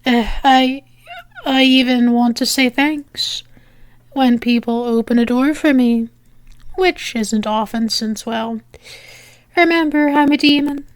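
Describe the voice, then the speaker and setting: A teasing, lovestruck demoness, acted by a woman in an ASMR roleplay, with a high-pitched voice. She talks slowly and haltingly to her beloved, in a very dry, close recording. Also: smooth, no room sound. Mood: nervous, earnest. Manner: hesitant, halting, teasing endearments. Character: teasing, lovestruck female demon confessing to angel lover. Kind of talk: intimate ASMR roleplay acting.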